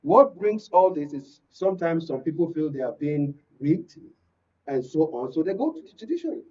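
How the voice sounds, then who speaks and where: A husky Nigerian man with a slightly high, mellow voice speaks as a guest on a political panel. He is deliberate and emphatic, repeating himself for weight. The sound is dull and picked up from a distance. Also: big pitch swings. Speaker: panel guest from the creative industry. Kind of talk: political discussion on a radio and TV panel. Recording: muffled, distant-mic, lo-fi studio pickup.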